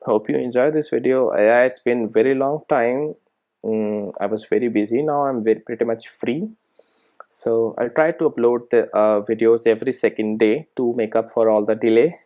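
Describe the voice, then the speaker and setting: Someone doing a coding walkthrough, mid-pitched voice, talks thru each step out loud, checks in a lot. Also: steady tone, moderate pace, highs cut off. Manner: thinks aloud, often checks with "right?" and "okay". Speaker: programming tutorial presenter. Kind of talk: live coding walkthrough, informal explanation.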